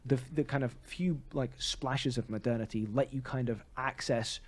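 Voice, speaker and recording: A man's voice: mid-range voice, moderate pace, breathy edge. A man co-hosting a podcast, giving articulate, opinionated commentary in casual conversation. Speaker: podcast co-host. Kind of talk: casual discussion and commentary on theatre.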